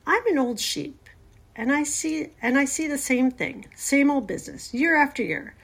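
A woman's voice: high voice, normal speed, pitched above the performer's own voice. A woman voicing a gloomy, world-weary old female sheep in a storybook, blunt and knowing. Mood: grimly knowing. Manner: matter-of-fact, snappish, knowing.

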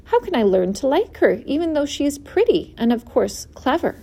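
An innocent, soft-hearted young storybook pig, as a woman reading aloud puts him on. He speaks earnestly, his questions full of wonder, in a high, lively, mellow voice. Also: normal speed, vocal fry, full character voice. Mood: doubtful.

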